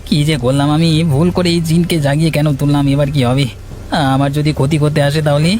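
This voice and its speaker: A man plays a worried cartoon villager full of regret. He mutters in a rush, fairly high in pitch, with clear noise behind him. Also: steady tone, a little mellow, close to an everyday voice. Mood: anxious regret. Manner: anxious, rushed muttering. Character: worried, regretful villager who woke a jinn. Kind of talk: cartoon voice acting.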